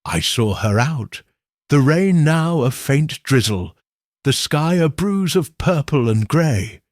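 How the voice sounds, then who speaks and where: A man's voice: fairly high pitch, animated, slow pace, no echo, clean studio sound. A man reading scripted first-person mystery fiction as an audiobook narrator.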